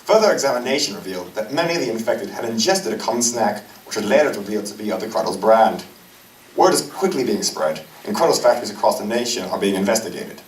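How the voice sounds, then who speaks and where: A man's voice: lowish voice, expressive, fast talker, a little hiss or hum, close to an everyday voice. A man playing a formal TV news anchor reporting an outbreak in an amateur stage play. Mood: urgent, formal. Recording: distant echoey stage recording.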